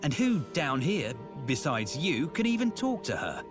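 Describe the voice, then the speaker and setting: A man's voice: a little high, very animated, normal speed. A man voicing a wry, sarcastic companion character. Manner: dry, sarcastic. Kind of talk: video game cutscene voice acting. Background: game music.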